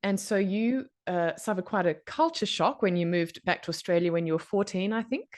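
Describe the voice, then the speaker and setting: A woman's voice: medium pitch, brisk. A woman hosting a podcast interview, speaking conversationally and asking thoughtful, probing questions. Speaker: podcast host.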